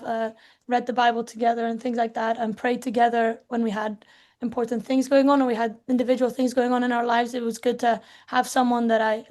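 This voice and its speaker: Flat, high-voiced young woman. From Spain and England. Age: young adult. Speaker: student. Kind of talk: personal testimony.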